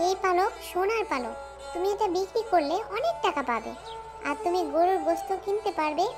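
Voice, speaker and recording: Casting: woman as a gentle magic duck, soft and coaxing, very high voice, music behind. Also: expressive, fairly quick. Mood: kind. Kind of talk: homemade cartoon voice acting.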